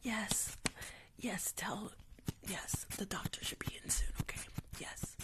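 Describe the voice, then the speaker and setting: A woman's voice: medium pitch, fairly even intonation, takes it slowly. Whispering young woman, soothing nurse roleplay. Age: young adult. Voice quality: breathy whisper with some creak. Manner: soothing, reassuring, repeats short phrases. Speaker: ASMR roleplayer playing a nurse. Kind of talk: whispered ASMR medical roleplay, live stream. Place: small room at home, indoors. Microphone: close microphone with fluffy windscreen.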